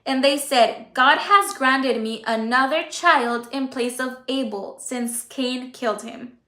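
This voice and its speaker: A woman whose first language is Spanish, informally teaching a Bible study in a conversational, halting way. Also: sits high, bright, forceful tone, reverberant. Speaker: Bible teacher and channel host. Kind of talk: informal Bible study talk, reading and explaining.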